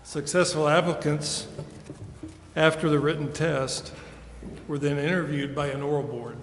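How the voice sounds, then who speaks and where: A man in the role of police chief, delivering a formal, measured ceremony speech in a council chamber. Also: on the high side, fairly even intonation. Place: large indoor council chamber. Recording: echoey reverberant hall, clearly noisy.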